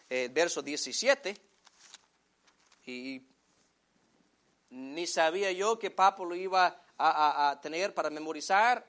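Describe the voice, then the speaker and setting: A man whose first language is English preaching a sermon in Spanish, addressing the congregation as brothers and slowing down to stress key phrases. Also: a little high, a bit bright. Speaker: pastor.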